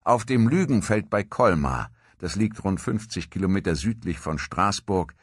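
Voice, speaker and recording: Male documentary narrator, very low and husky, for scripted history narration. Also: lively intonation, moderate pace, no echo, clean studio sound.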